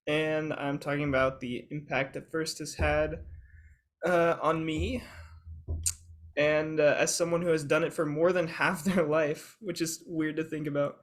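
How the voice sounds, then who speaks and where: A man's voice: fairly high pitch, fairly even intonation, moderate pace. A young man, a student guest, giving a prepared, organized explanatory talk on a video call. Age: young adult.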